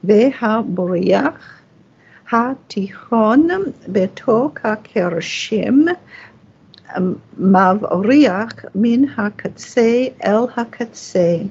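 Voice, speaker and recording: A woman reading scripture aloud as a Torah reader, phrase by phrase in a careful, measured way. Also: medium pitch, a little mellow, slightly rough. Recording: dull, lo-fi sound.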